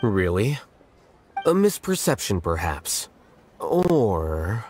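Aloof young scholar in a game, lowish voice, deadpan and blunt, music playing behind. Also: male voice, extremely slow, full character voice. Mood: cool, blunt. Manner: deadpan, detached, dry, precise. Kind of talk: video game voice acting. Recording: background music under the voice.

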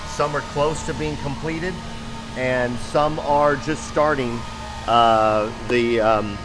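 A man in his forties giving an enthusiastic, informal tour to camera as a show host. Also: medium pitch. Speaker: company video show host. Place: large open factory hall, indoors. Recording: noisy factory floor ambience.

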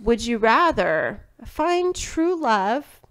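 Young woman co-host, casual chatty delivery, slightly high pitch. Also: big pitch swings, drawn-out words. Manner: chatty, says "like" often. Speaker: podcast co-host. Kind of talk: casual chat. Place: home studio.

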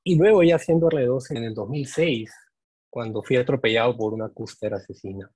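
A husky-voiced Peruvian man in his forties speaks to graduating medical students in an earnest, reflective way, often closing his thoughts with small tag questions. His voice sits at a middle pitch. The sound is close and dry, picked up by a podium microphone. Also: moderate pace, darkish tone, no echo. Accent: Peruvian Spanish. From Peru. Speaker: medical teacher giving a speech. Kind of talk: prepared speech to graduating students. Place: indoors, conference room.

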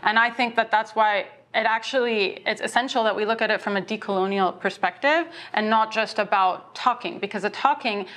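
A woman's voice: mid-range voice, a little fast, hardly any pauses, fairly bright tone. A young adult woman, an artist and educator, speaking reflectively and articulately in a panel discussion. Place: indoor studio set.

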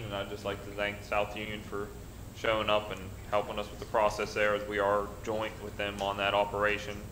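A middle-aged man giving a plain, halting department report at a city council meeting, with many pauses. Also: on the low side, bright, forceful tone, slight background noise. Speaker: council member. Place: council chamber at a dais. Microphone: desk mic. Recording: roomy council chamber.

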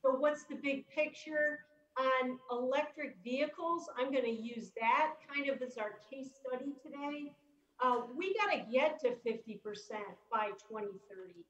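A woman's voice: sits high, moderate pace, fairly bright tone. A middle-aged woman from upstate New York giving an upbeat, chatty keynote talk as an economist over a video call. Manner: upbeat and chatty, with casual asides. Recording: roomy, slightly dull video-call audio.

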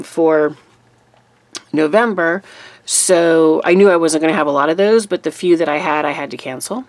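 A woman YouTuber recovering from a cold, her voice deeper than normal, chatting casually through a monthly craft recap at her desk. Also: gravelly, no echo. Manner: chatty, relaxed, with fillers. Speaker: papercraft YouTuber. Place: desk in a room, overhead camera on a cutting mat.

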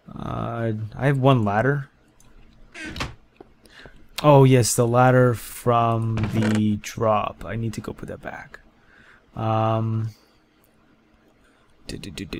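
A young man casually commentating his own game session, thinking aloud in halting bursts with lots of fillers and restarts. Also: medium pitch, a little mellow, a little hiss or hum. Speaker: gameplay commentator. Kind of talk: casual let's-play commentary.

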